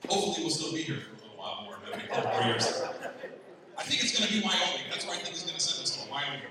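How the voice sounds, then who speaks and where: A Palestinian man doing a lively stand-up set, teasing the crowd and jumping up in pitch when excited. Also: quick pace. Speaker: stand-up comedian. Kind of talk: stand-up comedy set at a gala. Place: large banquet hall. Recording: far-off mic in an echoey banquet hall with noise.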